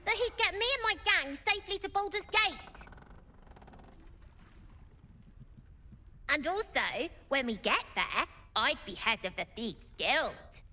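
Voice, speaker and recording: High, bright street girl. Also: expressive, moderate pace, hardly any pauses. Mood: defiant. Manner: cheeky, informal street grammar. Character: scrappy, defiant streetwise young gang-leader girl. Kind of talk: video game character acting. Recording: music behind the voice.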